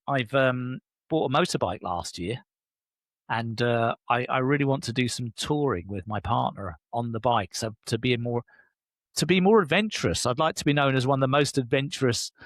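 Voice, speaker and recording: A man, an enthusiastic interview guest who speaks casually and keeps affirming with "yeah". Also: dry recording. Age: 60s. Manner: enthusiastic, animated pitch jumps, frequent affirming "yeah". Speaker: guest, leadership coach.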